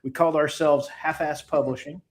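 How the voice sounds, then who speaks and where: A man's voice: fairly high pitch, fairly even intonation, fairly quick. A man who creates comic books, a podcast guest telling rambling, laid-back, self-mocking stories. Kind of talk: casual storytelling.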